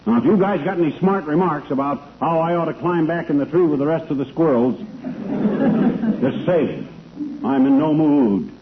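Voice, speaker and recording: An actor playing a blustery, impatient, slangy husband who grumbles, in old radio comedy style. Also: male voice, a little high, expressive, moderate pace, natural voice. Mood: grumbling. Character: blustery, grumbling middle-aged husband, everyman schemer. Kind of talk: 1940s-50s radio comedy acting. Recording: tinny noisy old radio recording.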